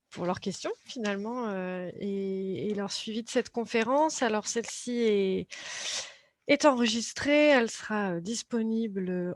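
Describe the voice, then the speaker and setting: A woman moderating an online conference, giving thanks and announcements in halting, short bursts of phrasing. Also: a little high. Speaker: conference host. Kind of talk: semi-scripted webinar moderation and announcements.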